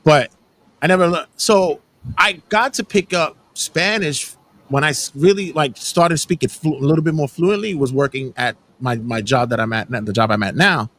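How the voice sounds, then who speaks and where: A man's voice: on the high side, normal speed. A man hosting a wrestling podcast, chatting casually and often repeating words as he starts a thought. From Puerto Rican family. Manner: animated, repeats words when starting sentences. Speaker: podcast host. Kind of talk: casual chat.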